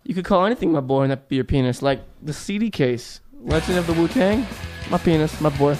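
A man with a light, slightly high voice co-hosts a casual radio show, talking fast and cracking jokes as he stumbles over his words. Soft music sits in the background. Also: lively intonation.

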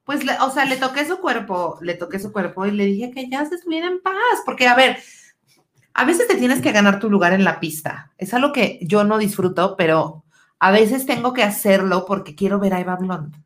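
A woman with a Mexican accent co-hosts a podcast. Her voice sits at a medium pitch, and she tells stories in a casual, colloquial way full of everyday slang. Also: animated, moderate pace. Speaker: podcast co-host. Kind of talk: casual chat and storytelling.

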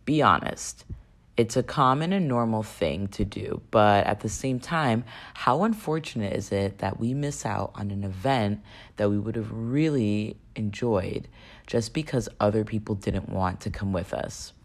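A woman hosting her own podcast, talking solo in a chatty way with a slightly raspy voice and posing questions to her listeners. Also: rumbling low, expressive, measured pace. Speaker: podcast host.

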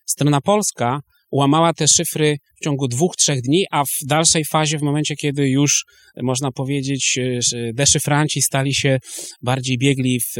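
A man explains history in short, hesitant pieces. His voice is of medium male pitch and the recording is dry, without room echo. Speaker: historian guest.

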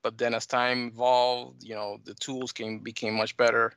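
A middle-aged man with a mixed Dominican and American accent, answering interview questions in halting, conversational phrases. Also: medium pitch, flat delivery, fairly bright tone, smooth, dry recording. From United States and Dominican Republic. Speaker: talk show guest, flight sim scenery developer.

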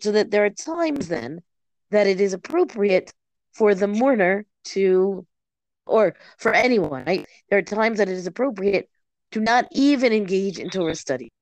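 A hoarse woman who has lost her voice, teaching in a study session and carefully restating each term in plain words. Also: mid-range voice, darkish tone, no echo, rough recording.

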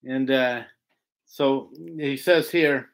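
A man's voice: medium pitch, fairly even intonation, drawn-out words. A man giving an informal Bible lesson, reading and explaining scripture in an emphatic, rhetorical way that keeps checking for agreement. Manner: emphatic, rhetorical, often seeking agreement with "right?". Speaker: Bible teacher.

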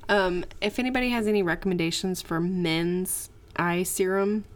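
A woman in her thirties co-hosting a podcast, chatting and teasing in a relaxed way with lots of "like". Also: fairly low pitch, normal speed. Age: 30s. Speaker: podcast co-host. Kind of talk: casual couple chat.

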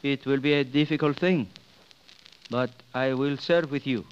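A man playing a grave, steadfast Sherpa mountain guide in a 1950s radio drama, speaking formally and with measured words. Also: mid-range voice, dull audio, natural voice. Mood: grave, earnest. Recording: noisy vintage 1950s radio recording.

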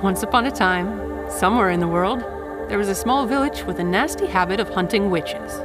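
A woman's voice: mid-range voice, a little fast, loud music behind the voice, close to an everyday voice. A woman playing a flippant, casual witch in a comic audio drama, offhand and wry.

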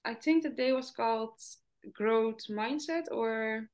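Slow, hesitant woman. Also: fairly high pitch, darkish tone. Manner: hesitant, many ums and uhs. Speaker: podcast host. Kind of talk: interview questions in English as a second language.

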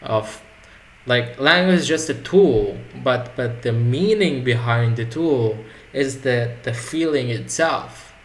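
A man's voice: medium pitch, lively intonation, takes it slowly. A man whose first language is Arabic, talking in a rambling, reflective way with many restarts in a casual conversation.

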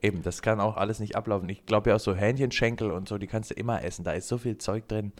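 A man's voice: lowish voice, fairly even intonation, quick pace. A young man co-hosting a casual podcast chat, joking and laughing often. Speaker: podcast co-host.

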